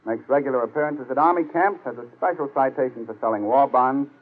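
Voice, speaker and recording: A man's voice: medium pitch, fast. Male American announcer for vintage radio variety program, mid-range voice, phone-like old broadcast sound. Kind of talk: scripted 1940s radio announcing. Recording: tinny, band-limited 1940s radio recording.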